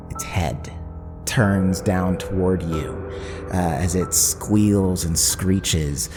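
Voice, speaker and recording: A man narrating a tabletop roleplaying game as game master, describing the scene dramatically. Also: deep voice.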